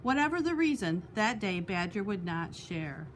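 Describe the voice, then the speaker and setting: A woman's voice: medium pitch, sing-song, moderate pace, slight background noise. A woman reading a children's picture book aloud expressively, as a storytime host. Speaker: storytime reader.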